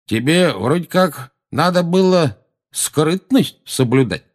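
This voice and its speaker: A man's voice: on the high side, lively intonation, slow pace, voice pushed up. A man voicing a shifty, slovenly petty crook in an audiobook, with colloquial, stammering speech. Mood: puzzled.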